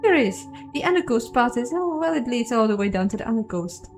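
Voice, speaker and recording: A woman's voice: on the high side, animated, brisk, darkish tone, faint music behind. A woman voicing a small, earnest hive-mind ant from a video game, talking in a casual, rambling way. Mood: chatty, secretive. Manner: casual, rambling, newly unsure of itself.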